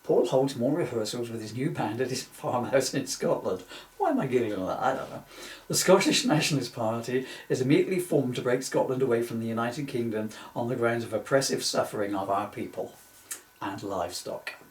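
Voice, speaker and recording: A man's voice: mid-range voice, brisk. A man reading from his own book in an informal way, with jokey, self-mocking, rambling asides. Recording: echoey room, distant mic.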